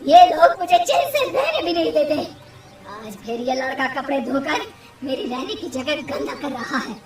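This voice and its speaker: Woman voicing a high-pitched vain, hot-tempered witch. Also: very animated, measured pace, roomy sound, far from the mic. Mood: angry. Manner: theatrical exclaiming, swinging between anger and delight. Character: vain, quick-tempered female river witch who steals saris.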